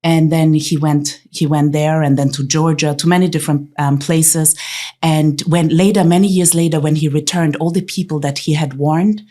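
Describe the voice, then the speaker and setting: A woman from Austria, a podcast guest, telling her family's story in halting speech with many pauses. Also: deep voice, steady tone, warm tone. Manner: halting, many ums, laughs easily.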